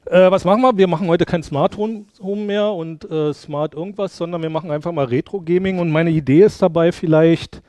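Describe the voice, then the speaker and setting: A middle-aged man with a slightly high voice gives a loose, chatty conference talk in a lecture hall. He rambles, restarts his sentences and fills pauses with hums. The sound is close and dry from a headset mic. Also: darkish tone. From Brandenburg, Germany. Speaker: conference speaker.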